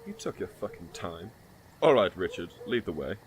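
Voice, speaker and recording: A man's voice: low-pitched, very animated, normal speed, a crowd in the background. An actor playing a surly, foul-mouthed and impatient lawman escort in a comedic fantasy audio drama. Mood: irritated.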